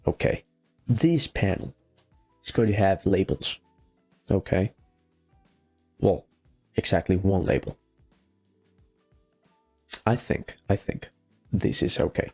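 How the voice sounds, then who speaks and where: Male coding host, Venezuelan Spanish accent, gravelly and mellow, thinking out loud in a casual, halting walkthrough. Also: low voice. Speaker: programming tutorial host. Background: faint music, keyboard typing.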